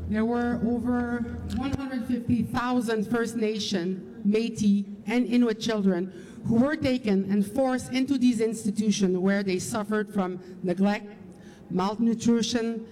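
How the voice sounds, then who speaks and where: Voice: mid-range voice, darkish tone, long reverb tail, a little hiss or hum, poor audio. A presenter giving a formal, earnest prepared speech at a commemorative event.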